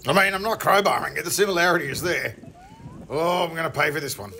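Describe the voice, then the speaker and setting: A man's voice: a little high, fast, gravelly, sharp tone, slight background noise. A man voicing a grumpy, foul-mouthed droid in a comedic dub, ranting sarcastically. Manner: constant swearing, sarcastic ranting voice-over.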